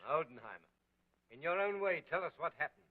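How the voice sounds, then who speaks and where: An actor playing a stern, impatient police prefect who questions witnesses curtly and with scorn. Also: male voice, a little high, expressive, fairly quick, narrow, tinny audio.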